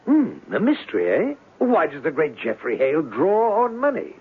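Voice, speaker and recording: Boastful, eccentric millionaire for vintage radio drama; grandiose, high voice with gravelly creak. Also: male voice, huge pitch swings, normal speed, full character voice. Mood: theatrical indignation, curious. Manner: grandiose, exclamatory, foreign phrases mixed into English. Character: flamboyant, eccentric, rich interstellar mystery man, theatrical and boastful. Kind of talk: 1970s radio drama acting. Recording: lo-fi noisy 1970s radio broadcast.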